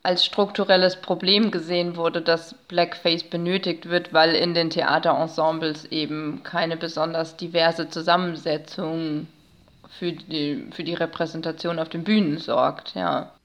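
Female academic podcast guest, lowish pitch, thoughtful and hesitant, conversational explanations. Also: fairly even intonation, a little slow, fairly bright tone. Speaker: podcast guest, narratology scholar. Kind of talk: conversational expert commentary.

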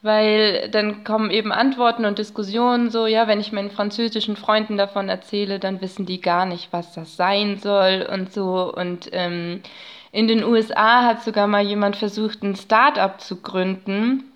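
A woman's voice: mid-range voice, steady tone, moderate pace, smooth, highs cut off. Woman explaining in a casual interview. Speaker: guest expert, narratologist.

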